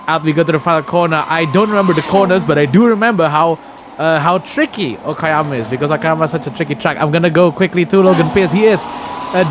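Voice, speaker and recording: Chatty Indonesian sim racing commentator. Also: male voice, fairly high pitch, noisy background. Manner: rambling, self-correcting, many uh fillers. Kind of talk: live remote race commentary. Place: at home.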